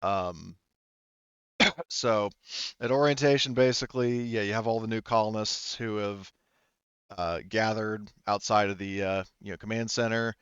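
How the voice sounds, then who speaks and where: A man acting as game master for a tabletop roleplaying game, explaining rules and scenes in a halting, conversational way on a close microphone. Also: on the low side, fairly even intonation, fairly bright tone, clear, resonant tone, dry recording, muffled recording.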